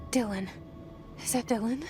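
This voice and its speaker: A woman's voice: on the high side, normal speed, over music, close to an everyday voice. A woman playing a guarded, searching young heroine in a video game, restrained and confiding. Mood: inward, tense. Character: guarded, searching young woman hunting her lost brother. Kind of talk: video game cutscene acting.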